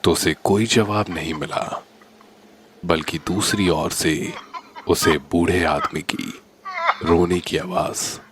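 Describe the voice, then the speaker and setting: A man narrating a scripted horror story in a deliberate, suspenseful manner. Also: deep voice, steady tone, background noise.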